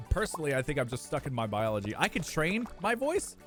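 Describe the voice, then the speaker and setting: Young male streamer, slightly high voice, lively delivery, chatty with viewers over desk mic. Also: fairly quick, over music. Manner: animated, swings into high laughing squeaks. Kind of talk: casual live-stream chat with viewers.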